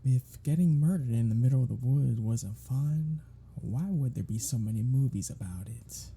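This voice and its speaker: A performer voicing a cocky, sardonic, teasing vampire in an ASMR roleplay, with a breathy, husky voice and a drawling, sarcastic, playful way of talking. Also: medium pitch, moderate pace, mellow. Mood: dry, teasing. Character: cocky, sardonic, teasing, foul-mouthed vampire riding shotgun. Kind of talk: ASMR roleplay audio drama, one-sided conversation.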